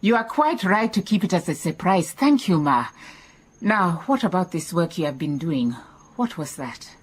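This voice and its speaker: An actress playing a wise, composed lady detective in a radio drama, with a measured, inquisitive manner. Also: on the low side, very animated, slightly rough. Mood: curious.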